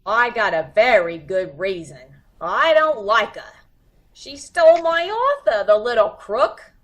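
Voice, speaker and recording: A woman performs a lovesick, hot-headed female crook from an old-time radio mystery. She talks slangy and melodramatic, drawing her words out at an unhurried pace. Her pitch leaps all over. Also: big pitch swings, fairly bright tone. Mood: indignant. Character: cute, tough, lovesick female would-be killer, excitable gangland moll.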